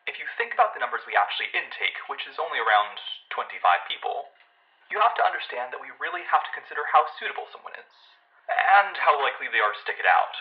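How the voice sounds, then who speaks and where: An actor playing a guarded, ambitious male senior lab technician being interviewed in an audio drama, measured, defensive and official-sounding. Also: on the high side, big pitch swings, lo-fi sound.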